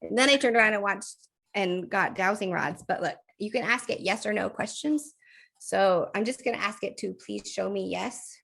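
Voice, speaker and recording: A chatty woman co-hosting a podcast, talking things through casually with plenty of fillers and easy laughter. Her voice is mid-pitched and a touch croaky today. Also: moderate pace, fairly bright tone. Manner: chatty, laughs often, thinks aloud with fillers. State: slightly croaky voice. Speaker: podcast co-host. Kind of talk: casual chat.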